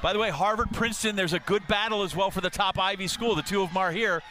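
A man giving excited live sports commentary as a race commentator. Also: high-pitched, quick pace. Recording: live broadcast, clear background noise.

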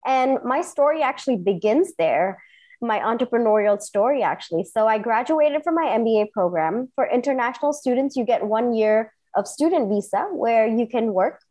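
Woman from Singapore, thirties, interview guest, earnest and enthusiastic, somewhat high voice, emphatic storytelling. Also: lively intonation, normal speed, warm tone. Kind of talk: personal storytelling in conversation.

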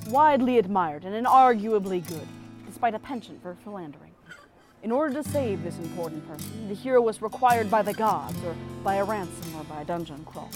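A woman playing a wry, quick-witted halfling storyteller in a fantasy audio drama, sardonic and theatrical. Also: medium pitch, lightly acted.

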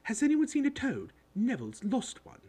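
Man as know-it-all schoolgirl, high-pitched, bossy, eager, animated, rattling on, storybook character. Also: normal speed, breathy edge, heavily acted.

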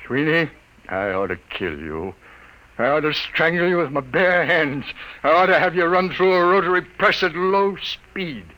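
A man's voice: fairly high pitch, animated, normal speed, pushed and bright. A man playing a sour, sarcastic, exasperated newspaper editor, grumbling through an old-time radio comedy drama. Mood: exasperated, bitter. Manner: sardonic, gruff in wording, grumbling. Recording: noisy old radio recording.

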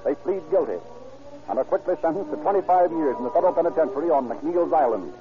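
A man's voice: a little high, animated, quick pace, over loud music. A man with an American accent, speaking as a polished, formal 1930s radio announcer from a script. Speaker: announcer and narrator. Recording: dull, hissy 1930s radio recording.

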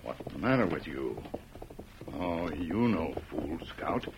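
A man's voice: a bass voice, expressive, takes it slowly, exaggerated voice. An actor playing a loyal male sidekick in a radio western, speaking clipped broken English as he handles his horses. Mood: concerned, soothing. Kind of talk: 1940s-style western radio acting. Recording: old dull radio recording, loud music.

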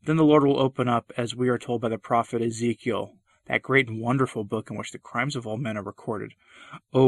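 A man's voice: mid-range voice, no echo. A man reading a sermon aloud in a solemn, impassioned, old-fashioned declamatory style. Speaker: preacher.

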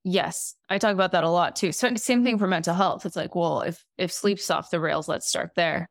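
Woman interviewer, raspy and throat-driven, lower-leaning pitch, informal podcast chat, very little room sound. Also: moderate pace, no room sound, clean studio sound. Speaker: podcast host.

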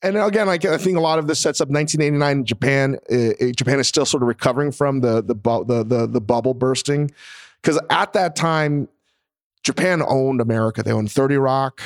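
A man's voice: medium pitch, a little fast. A man co-hosting a podcast, chatting casually about a TV show, rambling with frequent restarts and swearing. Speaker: podcast co-host.

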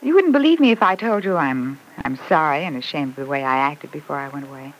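Wry guilty young lady in an old radio soap, swoopy pitch, faint noise. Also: animated, fast. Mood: contrite, pleading. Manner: arch, wry, wounded. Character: wry, self-reproaching young woman seeking forgiveness. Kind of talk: old-time radio serial acting. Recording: noisy old radio broadcast recording.

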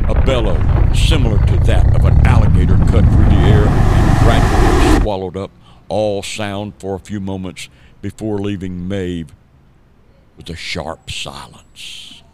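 A man's voice: lowish voice, very noisy. A man from the southern United States narrating a scripted radio play as a storyteller. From Savannah, Georgia, United States.

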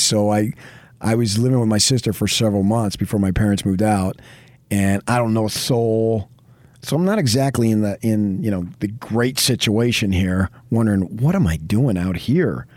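A man's voice: on the low side, moderate pace, darkish tone, no room sound. Jersey accent guy, middle aged, rambling sports radio talk, emphatic, really dry sound. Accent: New Jersey. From New Jersey, later Arizona.

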